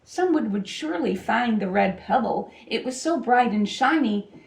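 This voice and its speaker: A woman reads a children's picture book aloud expressively, with deliberate, dramatic phrasing and pauses for emphasis. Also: fairly high pitch, lively intonation, vocal fry. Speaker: storybook reader. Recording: roomy echo, distant microphone.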